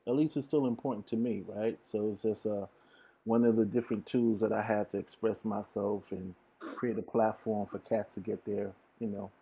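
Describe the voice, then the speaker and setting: A man's voice: fairly low pitch, fairly even intonation, normal speed, breathy edge. A man being interviewed as a guest, chatting casually and earnestly in slangy, informal language over a video call. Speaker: interview guest, DJ and music producer. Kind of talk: casual chat. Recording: dull lo-fi video call audio.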